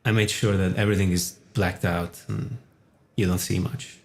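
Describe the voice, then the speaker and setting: A man's voice: low-pitched, steady tone, normal speed, vocal fry. A man from Italy, talking casually as a guest on a livestream. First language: Italian. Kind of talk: casual chat.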